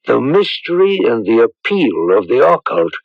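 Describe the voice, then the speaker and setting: An actor playing a grave, hesitant village priest in a radio drama, solemn and suspicious. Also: male voice, mid-range voice, sing-song, dry recording. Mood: earnest, probing. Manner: halting, solemn, clears throat. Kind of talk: 1980s radio drama acting. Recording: dull lo-fi radio broadcast recording.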